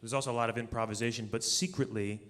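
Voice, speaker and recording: A man's voice: medium pitch, steady tone, fast, fairly bright tone. A male musician speaking as an interview guest in an informal, earnest, conversational way, recorded live on stage. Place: stage of a live radio show. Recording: live hall with long reverb.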